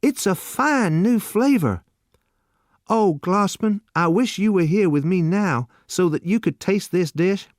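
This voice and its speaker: A man voicing a naive, eager seventeen-year-old boy who exclaims with wide-eyed excitement. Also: a little high, unhurried, no room sound, studio quality, voice pushed up. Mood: delighted.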